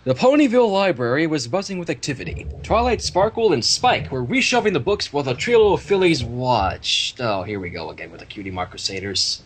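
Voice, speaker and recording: A man with a husky, expressive voice, reading a story aloud and bantering casually. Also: mid-range voice, a little fast, hardly any pauses, slight background noise. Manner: animated, expressive. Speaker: fan fiction reader on a livestream.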